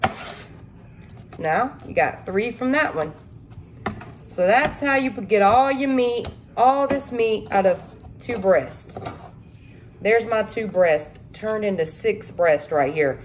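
A woman's voice: mid-range voice, a little mellow. Chatty woman cooking at home. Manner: chatty, folksy, addresses viewers as y'all. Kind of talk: casual cook-along talk to camera. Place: home kitchen.

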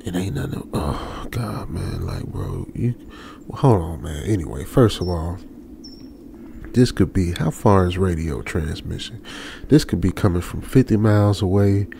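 A man's voice: deep voice, unhurried, creaky. A man with an American accent playing an anxious young trucker, muttering nervously to himself in a radio drama. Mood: self-reassuring. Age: young adult. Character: anxious young male trucker stranded alone. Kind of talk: ASMR radio drama monologue. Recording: faint background noise.

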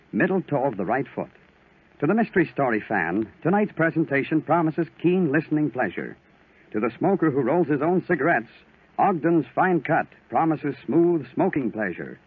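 A man announcing in scripted 1940s radio style, as host and pitchman. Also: husky. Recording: tinny narrow-band old radio recording.

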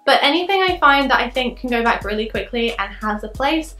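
A woman's voice: fairly high pitch, expressive, brisk, pushed and bright, soft background music. A young woman, giving upbeat, instructive narration while cleaning her bedroom. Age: young adult. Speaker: lifestyle vlogger. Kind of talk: cleaning tutorial voice-over and to-camera vlog. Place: bright bedroom, indoors.

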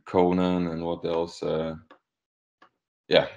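A man's voice: low voice, completely flat delivery, slow pace, a little mellow. A young man with a Danish accent, chatting casually as a musician being interviewed. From Denmark. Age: young adult. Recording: dull, lo-fi video call.

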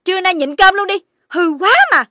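A woman voicing a strict, exasperated mother dog in a children's cartoon, sharp and scolding, with a Southern Vietnamese accent. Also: very high voice, fairly quick, no echo, clean studio sound. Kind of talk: animated children's cartoon acting.

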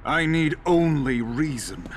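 An actor playing a composed middle-aged sorcerer hero in a video game, speaking in a formal, measured, authoritative way. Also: male voice, on the high side, expressive, a little hiss or hum. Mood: grave. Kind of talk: video game cutscene acting.

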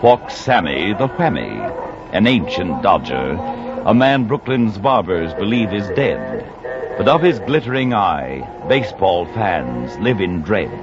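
A portentous male storyteller, reciting mock-epic verse. His voice is deep and sweeps dramatically. The old radio recording is muffled. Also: sing-song, a little slow, music in the background, full character voice. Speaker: narrator. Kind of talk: 1940s radio verse narration.

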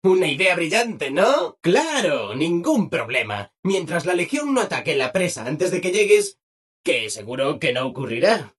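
A high-pitched, husky-edged robot helper from a video game, speaking with a Castilian Spanish accent. The delivery swings widely up and down, chirpy and eager to please, with a politeness that sounds almost mocking. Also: male voice, fairly bright tone, heavily acted. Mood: excited. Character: relentlessly cheerful, eager-to-please male robot assistant. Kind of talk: scripted video game character acting.

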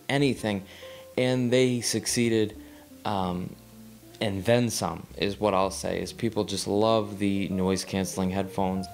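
Young guy reviewing speakers in his living room, kinda low voice, lots of ums, soft music under it. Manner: rambling, with frequent ums and repeated words; gestures with his hands while seated. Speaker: audio gear reviewer. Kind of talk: casual talking-head review. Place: small living room with record player.

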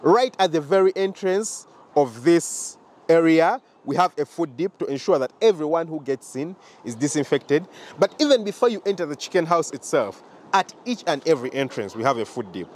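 A man's voice: on the high side, expressive, moderate pace. A young man with a Ugandan accent gives a walking tour of his poultry farm outdoors, explaining things to viewers and repeating key points. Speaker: farm owner giving a tour. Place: outdoors at a poultry farm and inside a chicken house. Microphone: handheld camera close to the face.

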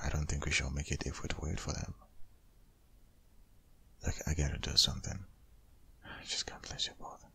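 Man acting a very deep, breathy whispering boyfriend. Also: exaggerated voice. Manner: hushed, urgent, coaxing, with sighs. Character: devoted young vet boyfriend, anxious but reassuring. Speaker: audio roleplay voice actor. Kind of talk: boyfriend ASMR roleplay, intimate whispering.

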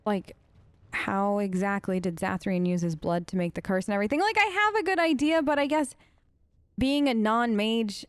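A woman's voice: medium pitch, moderate pace, smooth, no room sound. A woman doing casual, improvised role-play game commentary as a let's play host, chatty, earnest and rambling.

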